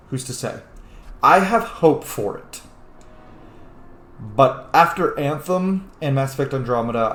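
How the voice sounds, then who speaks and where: A man giving casual gaming commentary in an opinionated, rambling way with plenty of swearing. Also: medium pitch. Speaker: video game streamer. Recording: roomy echo with faint noise.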